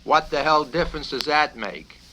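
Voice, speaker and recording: An irate, bullying military colonel acted out by a man reading a satirical novel, blustering and badgering. The pitch jumps high and swings widely as he shouts. It is on a noisy old vinyl record with clicks. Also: expressive, moderate pace. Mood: menacing.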